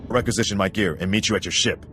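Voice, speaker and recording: An actor playing a dutiful, respectful army sergeant in a video game, clipped and military. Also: male voice, lowish voice, fast, pushed and bright, faint music behind. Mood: dutiful, brisk.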